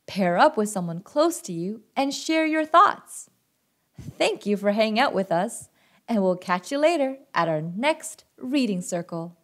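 A woman reading a picture book aloud to children as host and narrator, expressive and deliberate in her delivery. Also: a little high.

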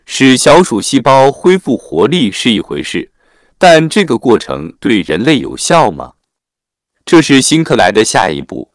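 A man narrating a written article in a formal, measured read-aloud manner. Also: mid-range voice, sing-song, dry recording.

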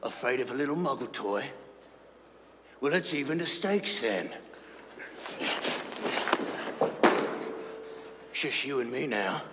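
A man acts out a villain posing as a grizzled old professor in a comic staged reading. He blusters and threatens behind a mock-friendly front. The sound is a big echoing hall with clear background noise. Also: medium pitch, animated, normal speed, close to an everyday voice. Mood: taunting. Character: gruff villainous impostor posing as veteran auror professor. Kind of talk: live staged reading of comedy musical. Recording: noisy echoey hall with long reverb.

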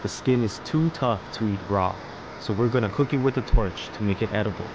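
A man narrating a fish-cutting and plating tutorial as host, explaining each step calmly. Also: lowish voice, a little fast, highs cut off, noisy background. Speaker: fishing and cooking channel host. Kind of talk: calm instructional voice-over.

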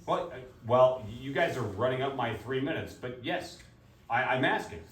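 A man's voice: mid-range voice, lively intonation, normal speed, a bit bright. A man at a public hearing, insistently questioning officials and repeating himself as he argues. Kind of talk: argumentative questioning at a public meeting. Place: public meeting room. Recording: echoey room, far from mic, noisy.

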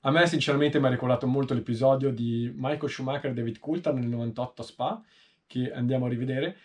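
A man in his thirties with a mid-pitched voice talks through race analysis informally. He explains things in a measured way and often pauses partway through a sentence. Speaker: motorsport video host. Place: small indoor room, seated in a sim racing seat.

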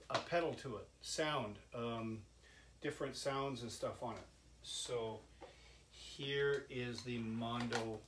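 An older man giving a halting, folksy, informal product demonstration, like an inventor showing off his own gadget. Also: medium pitch. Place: indoor cluttered home workshop. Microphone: camera mic a few steps away. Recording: echoey workshop with background noise.

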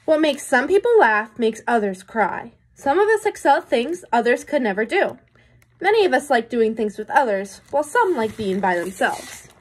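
A woman's voice: a little high, big pitch swings, moderate pace, slight background noise. A young adult woman reading a picture book to children as a teacher would, with explanatory asides and questions to her listeners. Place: small room indoors.

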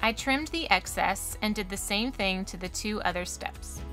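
A woman's voice: medium pitch, normal speed, over music. A woman giving a matter-of-fact, step-by-step scripted voice-over about renovating her home, recorded close to the mic. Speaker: RV renovation vlogger.

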